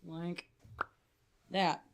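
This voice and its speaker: A woman's voice: fairly low pitch, flat delivery, drawn-out words. A woman narrating as game master in a casual, profane way, full of filler words.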